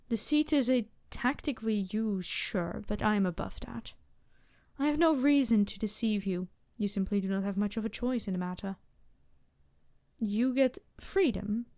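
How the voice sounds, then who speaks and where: A woman voicing an empathetic, teasing demon princess in an ASMR roleplay, speaking to the listener in formal, measured phrases. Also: medium pitch, a little mellow.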